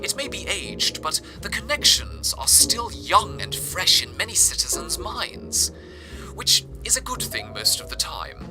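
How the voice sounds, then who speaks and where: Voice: medium pitch, sing-song, unhurried, over music. A performer playing a theatrical, sentimental city radio host in an amateur audio drama, with florid phrasing. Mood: theatrical, genteel. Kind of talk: amateur radio drama, scripted broadcast narration.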